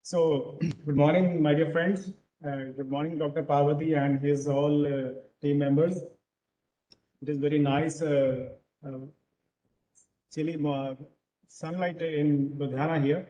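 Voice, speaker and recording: A man from Punjab with a Punjabi accent, giving an online lecture over a video call and pausing often with fillers. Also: on the high side, fairly even intonation, dark tone, dull audio. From Punjab, India. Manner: hesitant, many filler pauses. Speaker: guest lecturer.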